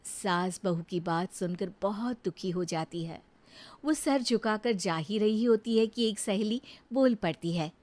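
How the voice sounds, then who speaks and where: A woman narrating a cartoon moral story in an unhurried, expressive way. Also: mid-range voice.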